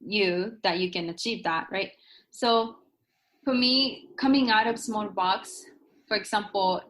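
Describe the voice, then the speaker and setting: A woman's voice: mid-range voice, fairly bright tone. A young woman from Japan with a Japanese accent, a guest author talking casually in an interview, full of "like" and restarts. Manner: many fillers like "like" and restarts.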